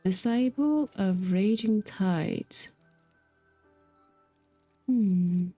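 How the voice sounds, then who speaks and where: Casting: female game streamer, soft mellow tone, musing and self-deprecating, casual chat mixed with read-aloud text, music behind. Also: medium pitch. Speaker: game livestreamer.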